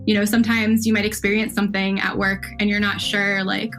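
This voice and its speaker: A woman's voice: medium pitch, flat delivery, fairly quick, a bit bright, soft background music. A woman talking casually in an interview, with informal, tentative phrasing. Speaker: podcast interviewee, engineer.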